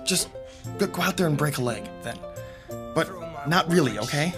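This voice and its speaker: A man plays a loyal young student working backstage in a radio drama. He sounds casual and conversational, with music clearly audible behind him. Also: a little high, expressive, moderate pace, natural voice.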